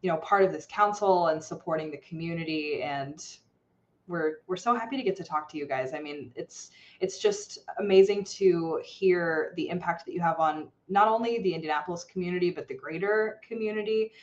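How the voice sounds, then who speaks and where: A woman's voice: lowish voice, fairly quick, highs cut off. A woman hosting an interview podcast, upbeat and enthusiastic with her guests. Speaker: podcast host. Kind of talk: casual interview.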